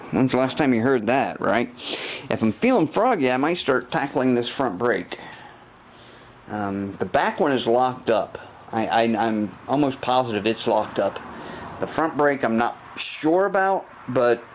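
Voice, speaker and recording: A man with a mid-range voice walks through his repair job in a home garage, rambling as he thinks aloud and often stopping to restart his sentences. A faint hum of garage ambience sits behind him. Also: medium pitch. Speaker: motorcycle restoration vlogger. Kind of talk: casual garage walkthrough to camera.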